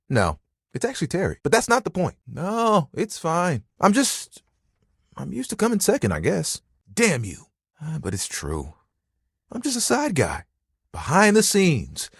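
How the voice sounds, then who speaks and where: A man's voice: on the high side, breathy edge, dry recording, clean studio sound, full character voice. A man voicing a young, easygoing, sensitive living towel in a video game, talking casually and earnestly with some hesitant, theatrical turns. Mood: wistful, self-deprecating. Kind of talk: video game character voice acting.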